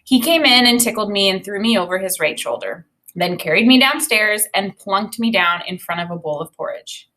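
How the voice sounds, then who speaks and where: Expressive woman teacher reading aloud. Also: mid-range voice, low-quality recording. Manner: informal, explanatory, pausing to comment. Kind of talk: expressive read-aloud with think-aloud commentary.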